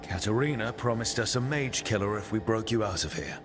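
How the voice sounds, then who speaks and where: An actor playing a resolute young adventurer leading a rescue in a fantasy game, talking in a direct, businesslike way. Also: male voice, on the low side, a little fast, close to an everyday voice. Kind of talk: cinematic fantasy game voice acting. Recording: loud music behind the voice. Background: loud game music.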